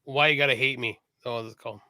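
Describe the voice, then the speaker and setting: A man's voice: medium pitch, steady tone, fast talker, bright, forceful tone, no echo. A man co-hosting a casual live-streamed podcast, chatty and enthusiastic about music, swearing casually. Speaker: podcast co-host.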